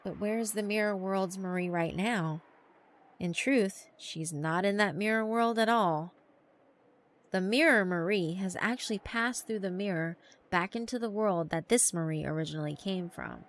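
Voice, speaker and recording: A woman's voice: medium pitch, moderate pace. A woman reading dramatic scripted narration for a visual novel.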